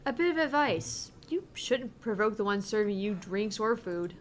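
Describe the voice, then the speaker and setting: A woman's voice: mid-range voice, animated, normal speed, slight background noise. A woman co-hosting a gaming show, reading a visual novel aloud and commenting casually.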